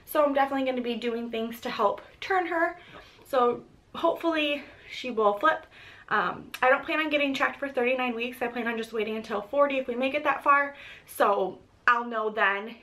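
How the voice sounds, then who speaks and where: A woman's voice: high-pitched, moderate pace, pushed and bright. A young pregnant woman giving a casual vlog update, congested and a little short of breath, chatty with frequent ums. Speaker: pregnancy vlogger.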